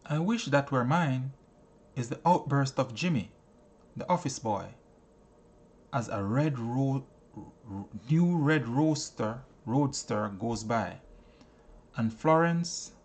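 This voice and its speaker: Slow, deliberate man reading aloud. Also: mid-range voice, expressive, slightly rough. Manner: deliberate, stretched phrasing with pauses. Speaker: audiobook reader. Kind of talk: scripted narration, reading a book aloud.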